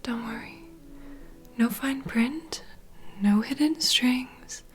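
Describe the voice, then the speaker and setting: A woman playing a poised, courteous vampire bartender in a whispered ASMR roleplay, unhurried and softly teasing. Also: on the high side. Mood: intrigued, welcoming. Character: poised, welcoming female vampire bartender, proud mixologist.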